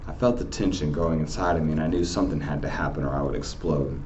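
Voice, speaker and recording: Very low-pitched man reading aloud. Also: fast, darkish tone, highs cut off, a little hiss or hum. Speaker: teacher. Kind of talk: novel read-aloud narration.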